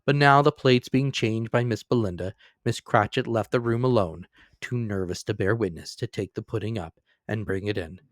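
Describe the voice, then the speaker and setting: A man's voice: on the low side, moderate pace, no room sound, studio quality. A man narrating a classic story as a dramatic reading, lifting and dropping his voice for emphasis. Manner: expressive, rising and falling for emphasis.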